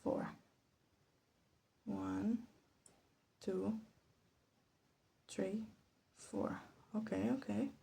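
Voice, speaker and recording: A woman from Latin America gives soft-spoken ASMR commentary, often slipping into a whisper, in a hesitant, musing, self-deprecating way. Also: mid-range voice, steady tone, drawn-out words, gravelly, pauses often, husky. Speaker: ASMR video host. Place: bedroom. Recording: faint background noise, clicking sounds.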